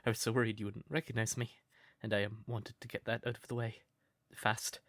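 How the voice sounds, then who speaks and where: A man playing a shy, sweet, curious crow turned human in a roleplay audio, speaking gently and breathily with hesitant stammers. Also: lowish voice, flat delivery, fairly quick, no room sound, studio quality. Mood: nervous, cold. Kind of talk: soft roleplay audio, intimate character acting.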